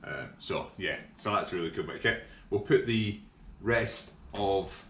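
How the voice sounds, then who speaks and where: Deep-voiced Scottish man in a workshop. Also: a little slow. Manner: relaxed, hesitant with frequent ums. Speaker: workshop vlogger. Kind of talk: casual how-to demonstration. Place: large indoor workshop. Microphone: camera mic from overhead. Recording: echoey workshop with faint hum.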